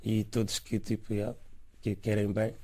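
A young man from São Tomé and Príncipe with a São Tomé Portuguese accent, giving halting, laid-back interview answers that trail off softly at the ends of phrases. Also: lowish voice, completely flat delivery.

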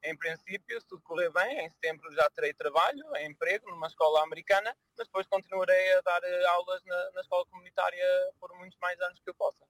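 A young man from New Jersey with a bright, forward voice, a little higher than most men's. He answers interview questions in a halting way, full of fillers and repeated words. The sound is close and dry. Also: steady tone, no room sound, poor audio. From New Jersey, United States. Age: 20s. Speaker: interview guest. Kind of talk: radio or TV interview.

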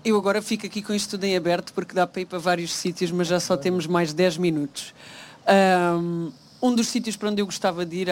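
A man with a European Portuguese accent, from the Baixo Alentejo, moderating a panel discussion at an event, talking quickly and filling pauses with "ah". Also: sits high, slight background noise. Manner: quick, thinks aloud with many "ah" fillers.

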